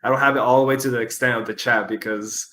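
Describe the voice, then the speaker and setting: A man, a guest streamer, chatting in a relaxed, agreeable way with short replies. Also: mid-range voice, fast talker, crowd noise. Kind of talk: casual chat. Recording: noisy background hiss.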